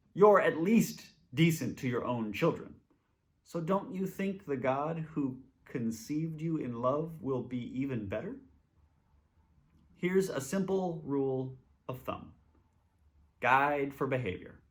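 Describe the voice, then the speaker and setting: A man reading modern-language scripture aloud, with emphatic, short phrasing. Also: fairly high pitch. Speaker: scripture reader.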